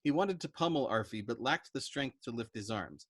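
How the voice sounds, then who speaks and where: A man reading a novel aloud in an expressive storytelling style. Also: mid-range voice, moderate pace, husky, no echo, studio quality. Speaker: storytime reader. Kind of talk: expressive audiobook narration of a novel.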